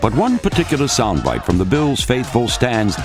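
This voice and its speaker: A man delivering scripted narration for a sports highlights film as the narrator. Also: wildly swooping pitch, moderate pace, loud music behind the voice. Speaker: documentary narrator.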